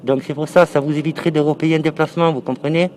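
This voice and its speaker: A man's voice: fairly high pitch, steady tone, fast talker, noisy background. A man on a phone call posing as a company manager, explaining himself insistently and repetitively. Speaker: prank caller posing as window company manager. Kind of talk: phone conversation, improvised prank.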